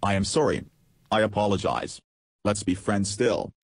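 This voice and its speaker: A man's voice: lowish voice, normal speed, no echo, studio quality, lightly acted. A man playing a contrary, evasive chatbot in a reenacted interview, blunt and matter-of-fact. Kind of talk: reenacted text-chat interview.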